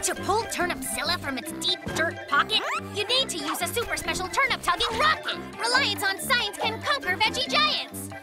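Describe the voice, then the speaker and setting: A voice actor playing an excitable, boastful young brown bear inventor in a cartoon, bouncy and full of himself. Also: male voice, very high voice, normal speed, hardly any pauses, over loud music. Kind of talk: cartoon voice acting.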